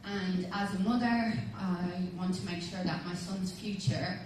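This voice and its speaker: A woman's voice: on the low side, steady tone, measured pace, darkish tone. An Irish woman in her thirties from Dublin gives an informal, hesitant campaign speech as an election candidate. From Dublin, Ireland. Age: 30s. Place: large community hall with audience. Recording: echoey hall, distant mic, faint noise.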